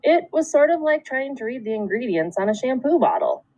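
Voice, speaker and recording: Female schoolteacher for a children's read-aloud: animated intonation, deliberate storytelling phrasing, dry room sound. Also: sits high, no echo, poor audio. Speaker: second grade teacher.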